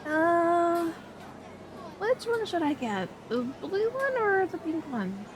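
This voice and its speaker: Young female best friend played by a woman, expressive roleplay style, unhurried, faint noise behind. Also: very high-pitched, exaggerated voice. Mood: playful, chatty. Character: excitable young woman on an outing with her best friend, sweet and easily discouraged. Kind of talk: ASMR roleplay audio drama addressed to the listener.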